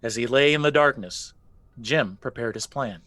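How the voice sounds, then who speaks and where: A man narrating a spooky folk legend for a radio play with measured, theatrical storytelling. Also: mid-range voice, pushed and bright, dry recording, lightly acted. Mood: suspenseful. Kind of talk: scripted dramatic narration.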